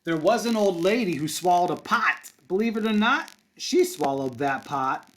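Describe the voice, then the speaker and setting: A man's voice: high-pitched, sing-song, moderate pace, fairly bright tone. A man reading a children's picture book aloud like a kindergarten teacher, with casual asides and encouraging, simple, sing-song delivery for young children.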